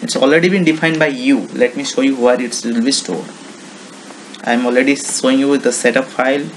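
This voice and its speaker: A man with an Indian accent giving an informal software walkthrough, explaining step by step in simple, repetitive phrases. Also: medium pitch, steady tone, fairly quick, a little hiss or hum, poor audio. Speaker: software tutorial presenter. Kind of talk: informal screen-recording walkthrough.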